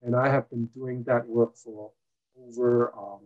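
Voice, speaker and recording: Man, 60s, interview guest, slow pace, mid pitch, measured, hesitant pauses. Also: little pitch movement, darkish tone, muffled recording. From Hong Kong. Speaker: guest, former mayor and retired college teacher. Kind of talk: interview answer, recounting his career.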